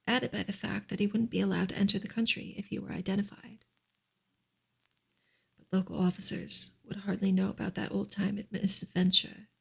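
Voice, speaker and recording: A woman reading a novel aloud in a soft, breathy ASMR style, partly whispered, in a gentle, hushed and unhurried way. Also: mid-range voice. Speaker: ASMR reader and streamer.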